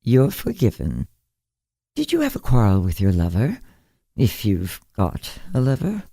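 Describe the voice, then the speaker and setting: An ancient bedridden woman read by a male narrator in a literary audiobook. She speaks slowly and candidly, musing with gentle wry superiority, in a mellow, soft tone. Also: lowish voice, huge pitch swings, vocal fry, no room sound, studio quality, exaggerated voice. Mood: gently advising. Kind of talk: literary story character reading.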